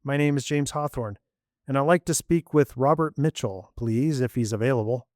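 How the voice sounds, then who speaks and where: A man's voice: mid-range voice, moderate pace, a little mellow, no echo, close to an everyday voice. Brief: a man as a courteous history-loving tourist, dry and close. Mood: polite. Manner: polite, courteous. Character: polite adult male history buff traveler.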